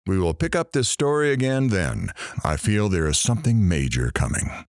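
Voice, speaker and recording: An actor playing a flippant, nosy radio talk show host with a theatrical, teasing delivery. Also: male voice, lowish voice, big pitch swings, moderate pace, a little mellow, no echo, clean studio sound. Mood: showy. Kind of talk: radio host patter in an audio drama.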